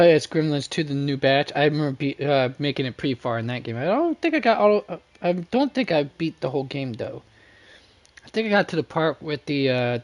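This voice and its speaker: A man's voice: mid-range voice, vocal fry. A man chatting casually as he streams a game, rambling and musing to himself. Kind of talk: casual chat while playing. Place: indoors, live stream with game music behind.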